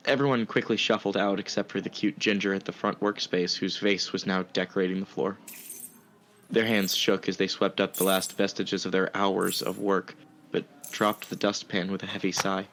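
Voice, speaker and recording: A man's voice: deep voice, flat delivery, moderate pace, a bit bright, a little hiss or hum. A man playing a sympathetic, smitten art student who tells his story in an earnest, tender manner. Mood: tender storytelling. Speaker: narrator and actor in audio fiction. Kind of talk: first-person story narration and dialogue.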